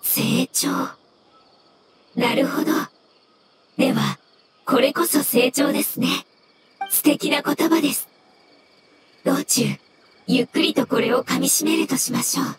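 A woman voicing a gentle, polite elemental creature in a video game, speaking in measured, formal phrases. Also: high-pitched, heavy background noise. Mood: calm, explanatory. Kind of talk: scripted game dialogue.

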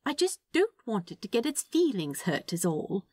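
Lady putting on a prissy gentleman angel for a story, polite n fussy, pitched up and lilting. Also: big pitch swings, moderate pace, dry recording, studio quality. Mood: prim, scolding. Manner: fussy, polite, cooing, tutting. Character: fussy, prim, doting male angel. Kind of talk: storybook character voice in fan fiction reading.

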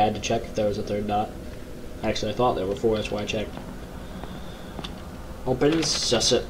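A young man with a low voice commentating a horror game from his bedroom, joking with mock bravado and reading the game's text aloud. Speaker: gaming YouTuber. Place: small bedroom, indoors. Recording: noisy home recording.

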